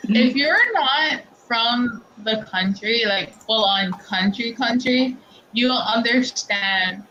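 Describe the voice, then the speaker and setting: Brief: woman guest from Tennessee, unhurried dry joker, higher voice, hissy call line. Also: pushed and bright. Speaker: guest caller. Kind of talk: casual chat. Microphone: video call. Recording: noisy livestream call audio.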